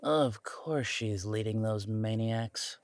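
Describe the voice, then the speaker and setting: A man's voice: lowish voice, slow pace, no echo. A man playing a young adult werewolf son in an audio roleplay drama, sardonic and rebellious, with a wry, skeptical delivery. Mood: bitter, accusing.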